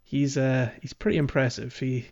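A man's voice: medium pitch, fairly even intonation, moderate pace, a little mellow. A man co-hosting a podcast in casual, hesitant, meandering and amused chat, on slightly muffled audio. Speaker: podcast co-host. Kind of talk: casual chat about a video game.

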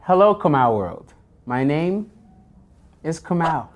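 A man, a musician, presenting a playful parody of children's educational television in a deliberate, theatrical manner. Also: a little high, sing-song, highs cut off, a little hiss or hum.

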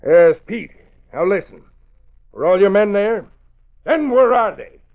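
An actor playing an angry, scheming crooked politician and crime boss in a 1940s radio drama, blustering and commanding, with a gravelly voice. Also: male voice, high voice, expressive, unhurried. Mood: commanding, urgent. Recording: muffled old radio recording.

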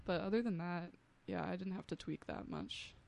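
A woman's voice: lowish voice, sing-song, normal speed, vocal fry, a little mellow. A young woman student musician answering radio interview questions briefly and plainly. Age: young adult. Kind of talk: casual interview. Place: radio studio.

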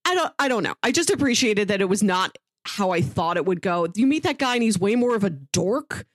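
A woman's voice: medium pitch, animated, fairly quick, no echo, studio quality. A woman talking casually and thoughtfully as a podcast co-host, reviewing a story in conversation.

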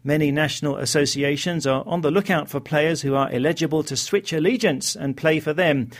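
A man's voice: fairly high pitch, brisk. A man presenting a radio football show, reading out contact details and introducing the next report.

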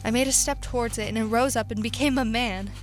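A woman playing a weary, haunted professor who survived an invasion, speaking solemnly and deliberately in a radio drama. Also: a little high, steady tone, faint music behind, lightly acted. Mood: watchful, somber. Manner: solemn, literary, deliberate narration. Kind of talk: dramatic radio play monologue and dialogue.

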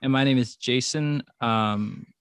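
A man speaking as a podcast guest, relaxed and a bit hesitant as he introduces himself. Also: mid-range voice, unhurried, dry recording, studio quality. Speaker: guest, educator. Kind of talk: interview conversation.

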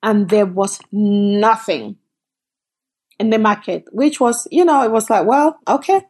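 A middle-aged woman from Cameroon, a company founder telling her story as a guest in an interview, lively and quick in bursts. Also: medium pitch, breathy edge. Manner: animated, storytelling, quick bursts, laughs often. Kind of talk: conversational interview.

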